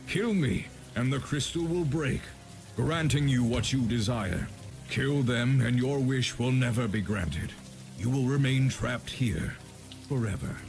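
A man voicing a solemn, menacing old wish-granting spirit in a game, gravelly and grandiose. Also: mid-range voice. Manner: slow, grandiose.

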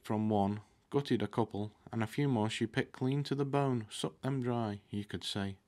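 Low-voiced man reading fantasy aloud. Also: normal speed, a little mellow. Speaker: book reader. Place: indoor room with curtains, at a desk. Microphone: desktop microphone close in front.

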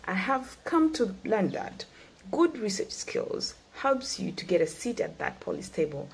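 A woman's voice: mid-range voice, gravelly, a little mellow, slightly rough, rough recording. Young woman from Tanzania giving a speech. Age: 20s. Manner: measured, earnest, read-from-notes delivery. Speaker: guest speaker. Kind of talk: prepared speech to a youth forum. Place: indoor room. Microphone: laptop webcam, video call.

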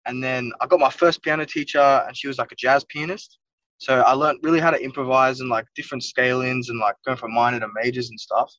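A man's voice: medium pitch, fairly even intonation, fairly quick, a bit bright, no room sound. A young Welsh-born man, a music producer, chatting casually in an interview from inside a car and rambling with lots of "like" and "you know what I mean". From Wales. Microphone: phone or laptop camera on a video call. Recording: dull lo-fi video call audio from a distance.

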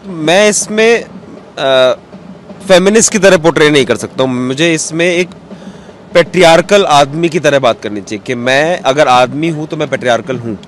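A man's voice: a little high, moderate pace. A man in his thirties giving reflective interview answers outdoors, dropping English words into his speech. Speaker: cartoonist, interview guest. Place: outdoors on a bench in a courtyard. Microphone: interviewer's handheld microphone. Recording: outdoor street noise, traffic behind.

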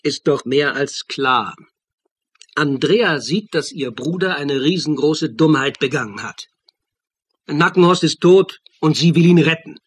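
A man's voice: on the high side, unhurried, husky. An actor in a radio crime play voicing a clever, persistent sleuth who lays out his theory in an assured, needling way. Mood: confident. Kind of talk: German radio play dialogue.

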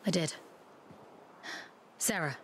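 An actress playing a worried woman searching for a missing friend, speaking anxiously and haltingly. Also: a little hiss or hum, natural voice.

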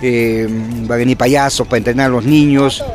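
A man gives an upbeat interview into a handheld mic at a busy outdoor fair. His voice sits at an ordinary middle pitch, with faint music somewhere behind him. He talks in a steady promotional flow, full of fillers and little tag questions. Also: fairly quick. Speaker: bank executive interviewed. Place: outdoor fairground, busy event. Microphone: handheld reporter microphone.

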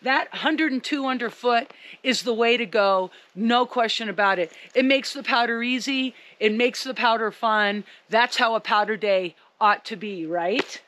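Loud upbeat woman ski coach, kinda high voice, talking outside in the snow. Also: unhurried. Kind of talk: outdoor ski instruction to camera. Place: outdoors in snow by a ski rack. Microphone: camera a few meters away.

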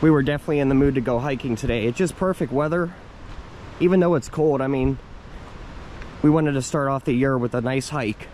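A man's voice: medium pitch, fairly quick, darkish tone, a little hiss or hum. A young man casually narrating his hike through a wooded park, admiring the views and reading a plaque aloud, recorded on a handheld camera outdoors. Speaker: hiking vlogger. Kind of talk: casual hiking vlog narration.

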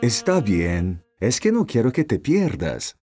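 A man voicing a patient, kindly young father in a children's cartoon, gentle and encouraging. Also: medium pitch, wildly swooping pitch, slow, breathy edge, faint music behind, exaggerated voice. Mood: gently concerned.

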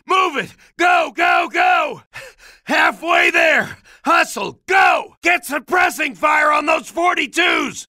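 An actor playing a tough, gruff American army sergeant in a war video game, commanding and brusque as he barks combat orders with urgency. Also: extremely high pitch, sharp tone, no room sound, clean studio sound, exaggerated voice. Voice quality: gruff, gravelly. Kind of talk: shouted video game battle dialogue.

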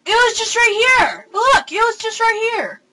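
A young man hosting a console game let's play from his room, excitable and gasping, with hesitant fillers. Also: very high-pitched, measured pace, a loud crowd. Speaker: gaming channel host. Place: home room with console screen.

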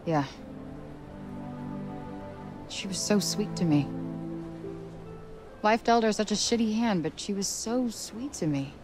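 A woman's voice: medium pitch, expressive, slow pace, long pauses, music in the background. An actress playing a sardonic young New Yorker woman in a video game, with an American accent and blunt, slangy delivery. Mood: grieving. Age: young adult. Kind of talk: video game cutscene acting.

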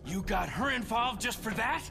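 A man's voice: sits high, animated, moderate pace, creaky. An American man playing an earnest, defiant young agent in a video game, terse and confrontational. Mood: angry, demanding. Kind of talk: video game cutscene acting. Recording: music under the voice.

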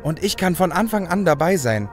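A man's voice: high-pitched, very animated, a little fast, faint music behind. An actor playing a cynical, proud young male hacker turning elf in an audio drama, brooding and self-assured. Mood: earnest, visionary. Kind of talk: German audio drama acting.

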